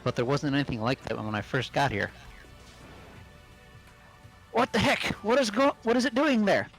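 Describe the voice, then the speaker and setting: A man's voice: on the high side, huge pitch swings, normal speed, faint music behind. A man playing an agitated, baffled teenage male student in an amateur game dub. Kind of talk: amateur game character acting.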